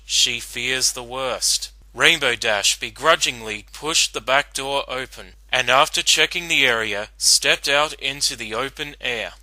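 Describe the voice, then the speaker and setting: A man's voice: mid-range voice, sharp tone, dry recording, rough recording. A man narrating a story as a dramatic reading.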